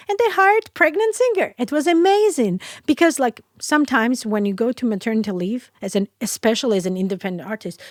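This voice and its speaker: Chatty singer, dry studio sound. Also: female voice, a little high, dry recording. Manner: chatty, animated, frequent fillers and restarts. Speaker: guest singer. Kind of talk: casual interview chat. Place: small recording studio. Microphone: studio microphone.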